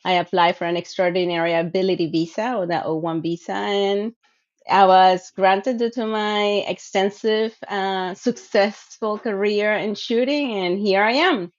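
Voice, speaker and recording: A woman's voice: medium pitch, steady tone, unhurried. A woman, telling her story as a guest in an earnest, reflective way with many fillers, over a video call. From Venezuela. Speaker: guest speaker, sport shooter. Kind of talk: casual personal storytelling. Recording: muffled lo-fi call audio.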